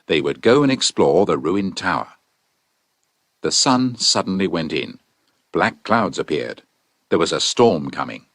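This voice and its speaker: Male narrator, low voice, animated delivery, measured dramatic storytelling, close dry sound. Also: no room sound.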